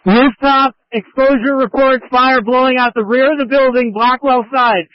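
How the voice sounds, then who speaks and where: Man, very high pitch, fire chief, clipped and urgent, dry lo-fi two-way radio. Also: steady tone, normal speed, no room sound. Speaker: fire chief commanding a division at a fire scene. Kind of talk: urgent fireground radio traffic. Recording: muffled lo-fi emergency scanner radio.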